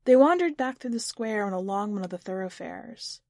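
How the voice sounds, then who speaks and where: A woman's voice: mid-range voice, expressive, a little fast, darkish tone, dry recording, clean studio sound. A woman reading literary fiction aloud as a scripted audiobook narration. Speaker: podcast editor and story narrator.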